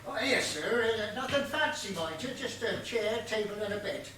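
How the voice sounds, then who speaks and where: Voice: mid-range voice, animated, normal speed, pushed and bright. An actor playing a muddled, literal-minded gardener in a comic stage play, stammering and earnestly deferential as the character is questioned. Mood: eager, earnest. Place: stage of a small theatre. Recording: distant echoey theatre recording, faint noise.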